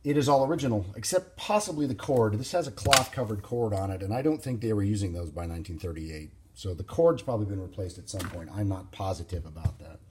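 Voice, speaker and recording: A man giving an informal, unscripted hands-on demonstration as a hobbyist, explaining things in a plain-spoken, halting way. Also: lowish voice, animated, background noise. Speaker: vintage radio hobbyist presenting a demonstration.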